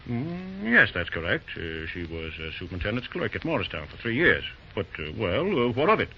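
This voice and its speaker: Flustered middle-aged dad for vintage radio drama; low, swooping delivery, stammering peacemaker. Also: male voice, big pitch swings, husky, full character voice. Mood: uneasy. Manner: halting, stammering, hemming and hawing. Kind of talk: 1940s radio drama acting. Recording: muffled old radio recording, faint noise.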